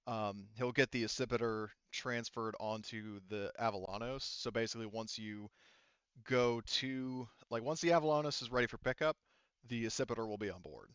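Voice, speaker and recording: A man acting as game master, narrating a tabletop game casually in halting, rambling detail. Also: medium pitch, a bit bright, clean tone, dry recording, muffled recording.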